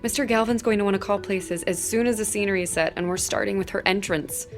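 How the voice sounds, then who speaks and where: An actress plays a harried woman on a stage crew. She sounds brisk, worried and urgent at a medium pitch, in radio drama dialogue over music. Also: steady tone, natural voice. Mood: anxious.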